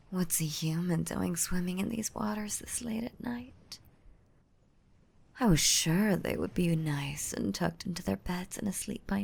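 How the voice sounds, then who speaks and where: A woman voicing a gentle, nurturing mermaid in a roleplay ASMR audio drama, soothing and doting with a breathy, whispery delivery. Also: lowish voice, heavily acted. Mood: serene, curious. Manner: soothing, doting, uses pet names. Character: gentle, nurturing mermaid rescuing a drowning human.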